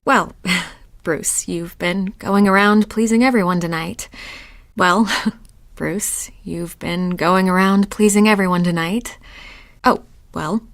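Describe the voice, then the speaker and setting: A woman's voice: fairly low pitch, fairly even intonation, takes it slowly. A woman playing a wry, flirtatious society party guest in a video game, teasing and knowing in manner. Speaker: game voice actor. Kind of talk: conversational game dialogue acting.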